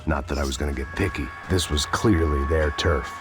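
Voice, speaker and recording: An actor playing a weary, cynical middle-aged hardboiled gunman, narrating deadpan and sardonic in an American accent, in the style of a noir video game. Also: extremely low pitch, fairly quick. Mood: wry, weary. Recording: music under the voice.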